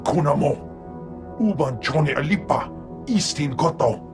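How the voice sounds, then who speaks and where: A man's voice: on the high side, expressive, a little slow, vocal fry, over music. A man voicing a stern alien Jedi master in a video game, speaking clipped syllables of an invented alien tongue. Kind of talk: video game cutscene acting.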